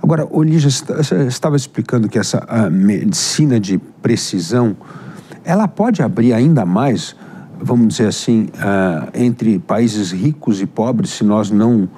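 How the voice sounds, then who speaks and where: Mellow-voiced paulista man interviewer. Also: fairly low pitch, animated, normal speed, slight background noise. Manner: long rambling questions with many tag questions. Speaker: interviewer, host. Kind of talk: interview questions.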